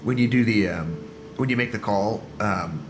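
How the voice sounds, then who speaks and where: A man's voice: on the low side, normal speed, music in the background, lightly acted. A man acting in an audio drama as a laid-back, blunt coworker with a casual, slightly hesitant, dryly teasing delivery. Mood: casual, reassuring.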